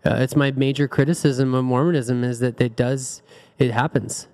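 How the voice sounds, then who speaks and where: A man hosting a relaxed group chat, thinking aloud and restarting his sentences often. His voice sits at an ordinary middle pitch. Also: moderate pace. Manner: rambling, frequent restarts, reflective. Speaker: podcast host. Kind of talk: casual group discussion.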